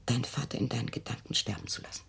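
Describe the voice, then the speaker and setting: Husky, low-voiced, controlling mother. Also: fairly bright tone, exaggerated voice. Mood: cold, matter-of-fact. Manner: measured, insistent, self-justifying. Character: controlling, defensive mother of a fearful grown daughter. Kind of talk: intimate German radio play acting.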